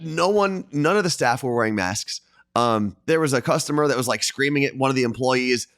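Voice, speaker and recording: A man's voice: mid-range voice, lively intonation, a little fast, a bit bright, no room sound. Laid-back male co-host, easy hesitant chat, dry close sound. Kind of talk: casual chat. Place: staying in Florida.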